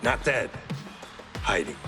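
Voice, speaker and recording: An actor playing a stern, proud clan swordsman in a video game, speaking in a terse, measured, menacing way. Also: on the low side, big pitch swings. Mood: proud resolve. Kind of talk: video game cinematic acting. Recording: music behind the voice.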